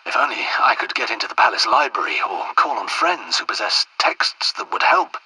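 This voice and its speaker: An actor playing an anxious, earnest English royal doctor in a video game outbreak, well-spoken, polite and hesitant, with a breathy, husky voice. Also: male voice, lowish voice, very animated, moderate pace, pushed and bright, full character voice, poor audio. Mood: weary, grave. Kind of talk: dramatic game dialogue and audio diary entries.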